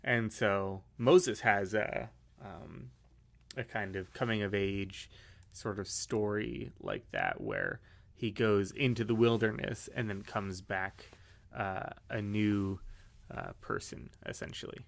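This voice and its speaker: An American man leading a casual church class discussion, relaxed and thinking aloud with fillers. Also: fairly low pitch, takes it slowly, vocal fry.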